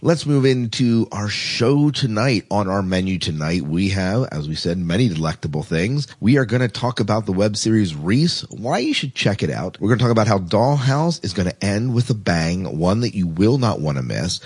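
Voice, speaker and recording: Man, very low voice, husky, casual podcast host, playful, jokey. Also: sing-song, normal speed, few pauses, darkish tone, no room sound, clean studio sound.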